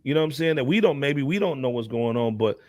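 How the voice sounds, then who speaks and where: A man giving casual hip hop commentary as a panelist, animated and slangy, often checking that listeners follow him. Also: medium pitch, fast, no room sound, clean studio sound. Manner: animated slangy talk with repeated check-in phrases. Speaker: talk show panelist.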